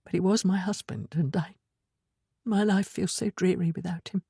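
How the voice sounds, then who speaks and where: A woman voicing a grieving widowed mother in an audiobook, husky, hushed and halting as she confides. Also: on the low side, steady tone, no room sound, clean studio sound, heavily acted. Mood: sorrowful, confiding. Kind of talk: audiobook character dialogue.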